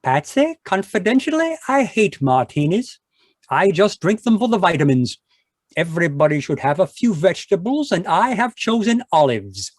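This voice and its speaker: A man's voice: high-pitched, very animated, moderate pace, dry recording, studio quality. A man playing a genial, boozy ex-doctor sidekick in a 1940s-style radio drama, talking in a florid, rambling, mock-dignified way. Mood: tipsy, grandiloquent. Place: live remote video-call performance.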